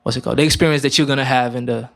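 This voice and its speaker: A man's voice: mid-range voice, creaky. A young man from Romania, a guest musician, talking casually in an interview with many fillers and restarts. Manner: relaxed, halting, many fillers.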